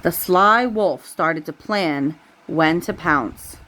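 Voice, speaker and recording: A woman's voice: lowish voice, slow pace, fairly bright tone, slight background noise. A woman reading a bedtime story aloud to a child, with casual asides along the way.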